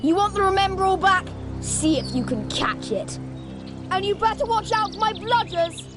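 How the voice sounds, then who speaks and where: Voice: very high-pitched, normal speed. A performer playing a spoiled, haughty schoolboy bully in a video game, taunting and spiteful. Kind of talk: video game character acting. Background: game music.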